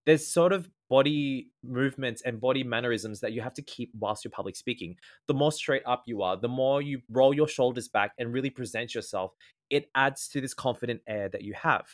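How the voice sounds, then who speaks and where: A man's voice: mid-range voice, fairly quick. Earnest Australian man explaining tips. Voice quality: slightly nasal natural voice. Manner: earnest, explanatory, lays out tips in ordered points. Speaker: guest speaker, former event MC. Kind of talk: casual podcast interview.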